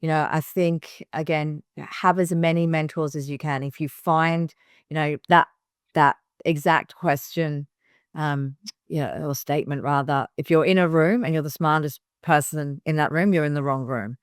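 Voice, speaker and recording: Emphatic woman with a smooth, dry voice. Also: sits low, steady tone, normal speed, no room sound. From Hong Kong. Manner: direct, emphatic advice with many fillers and restarts. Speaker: business founder, guest. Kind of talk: casual interview talk.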